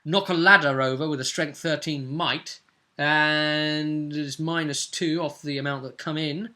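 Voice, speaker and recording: Bright-voiced, excitable man co-hosting. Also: a little high, measured pace. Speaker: host and game master. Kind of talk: animated chat recapping a tabletop role-playing game.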